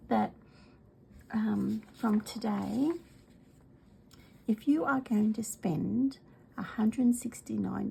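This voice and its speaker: A middle-aged Australian woman with a congested, cold-worn voice, chatting casually as she demonstrates craft products, rambling with many ums and often dropping to a soft whisper. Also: a little high, vocal fry, husky, low-quality recording. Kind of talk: casual craft video chat.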